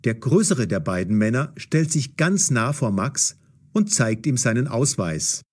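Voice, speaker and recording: Husky male storyteller with animated intonation. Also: mid-range voice, a little slow. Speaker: narrator. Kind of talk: scripted story narration.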